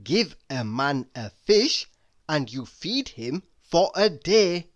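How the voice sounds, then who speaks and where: A person reading mock-inspirational quotes aloud in a deliberate, dramatic manner, pausing between phrases. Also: mid-range voice, sing-song. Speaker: reader of quotes.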